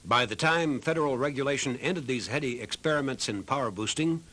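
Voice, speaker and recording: A man's voice: mid-range voice, a little fast, bright, forceful tone. A man reading scripted narration as the narrator of a documentary. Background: music behind the voice.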